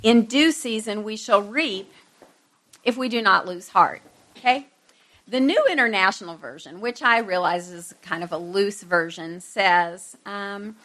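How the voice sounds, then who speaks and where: A woman's voice: medium pitch, sharp tone. A middle-aged woman giving an informal Bible lesson to a group, conversational, with self-deprecating asides to her listeners.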